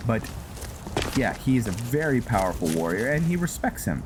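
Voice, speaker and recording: A man giving casual, improvised gameplay commentary and roleplay story recap in an informal, halting way with trailing thoughts. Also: mid-range voice, very animated, noisy background. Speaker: gameplay host narrating a roleplay playthrough. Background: loud music.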